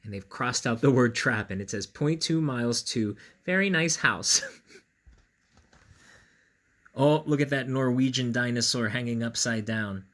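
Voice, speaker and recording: A man reading a picture book aloud to children, playful and knowing, with chatty asides and dramatic pauses. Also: mid-range voice, a bit bright. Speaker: storyteller, school read-aloud host.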